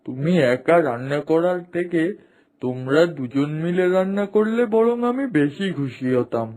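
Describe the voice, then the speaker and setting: A man voicing a kindly uncle-in-law, the elder of a cartoon household, approving and gently advising. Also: a little high, expressive, moderate pace, close to an everyday voice. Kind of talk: Bengali moral-story cartoon dubbing.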